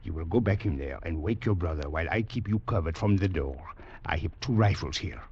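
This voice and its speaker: An actor playing a ruthless, contemptuous commandant in a 1940s radio drama, barking menacing orders. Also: male voice, low voice, lively intonation, a little fast, darkish tone. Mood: cold menace. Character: ruthless French Foreign Legion commandant, brutal and contemptuous. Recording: dull, noisy 1940s radio recording.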